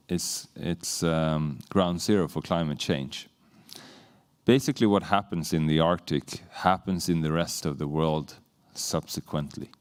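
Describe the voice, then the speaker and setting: A man with a very low, smooth and resonant voice speaks at a conference. He goes slowly, pausing often on fillers and restarting his sentences. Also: a little mellow. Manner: halting, many fillers and restarts. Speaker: panel speaker. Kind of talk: conference talk. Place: conference pavilion hall.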